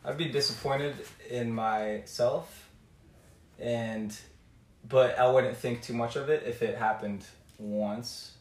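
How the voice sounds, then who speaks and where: A man from Reno, a guest on a podcast, speaks openly in a relaxed, thoughtful manner. He sits a little back from the microphone, so his voice sounds distant, and the room echoes. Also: medium pitch, slow pace. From Reno, Colombian heritage. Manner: candid, laid-back, thoughtful. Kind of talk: casual podcast chat.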